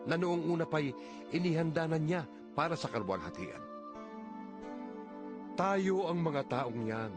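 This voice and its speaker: Expressive man narrating scripture over music. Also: a little high. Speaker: audio Bible narrator. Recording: music under the voice. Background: background music.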